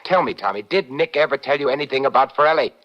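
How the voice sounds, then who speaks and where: An actor playing a suave, commanding gentleman detective, brisk, pressing and wry, in 1950s American radio style. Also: mid-range voice, lively intonation, a little hiss or hum, close to an everyday voice. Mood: urgent, insistent. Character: suave, commanding gentleman sleuth, urgent and insistent. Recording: muffled vintage radio recording.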